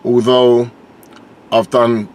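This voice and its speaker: A British man with a deep voice and a lisp, a rapper chatting loosely as an interview guest, with lots of fillers and restarts. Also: very slow, a little hiss or hum. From United Kingdom. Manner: relaxed, rambling, many fillers and restarts. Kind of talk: casual interview chat.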